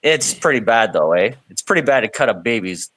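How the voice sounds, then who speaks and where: Animated man ranting. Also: on the low side, brisk, no echo, low-quality recording. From Canada. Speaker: voice chat participant. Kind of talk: casual group voice chat.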